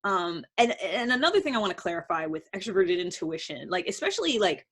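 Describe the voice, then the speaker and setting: Female vlogger, slightly low register, informal explainer to viewers, loose and rambling delivery. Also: very animated, fairly quick, fairly bright tone, breathy edge. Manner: conversational, rambling, hedging with "like" and "sort of". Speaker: video blogger on personality typing. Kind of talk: casual unscripted explainer to camera.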